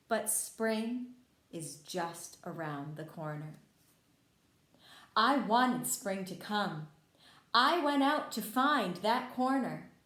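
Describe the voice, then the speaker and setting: A woman voicing a friendly, gentle male frog telling a children's storybook tale in an expressive, reassuring way. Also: a little high, heavily acted. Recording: echoey room.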